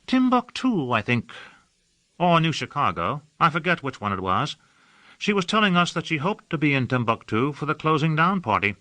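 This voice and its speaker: An airy, effete gossip of a man who drawls every phrase with lazy affectation, as a male narrator puts him on. The voice sits high and swoops about in a lively way. The sound is bright and dry, close to the mic. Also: moderate pace, dry recording. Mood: airy, lilting. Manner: lilting, affected, drawling delivery.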